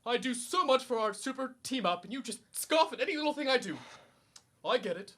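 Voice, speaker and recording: A man's voice: very high-pitched, expressive, a little fast, fairly bright tone, exaggerated voice. A young man playing a pompous, easily flustered suburban superhero in a comedic radio serial, declaiming grandly. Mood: defensive, wounded. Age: young adult. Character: pompous, needy, suburban amateur male superhero.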